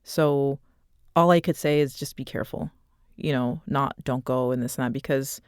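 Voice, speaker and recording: A woman in her thirties telling a personal story as an interview guest, speaking softly and reflectively, pausing often with fillers. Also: extremely low pitch, steady tone, smooth, no room sound, studio quality. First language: not Arabic. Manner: soft, reflective, halting with many fillers. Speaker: author and podcast guest.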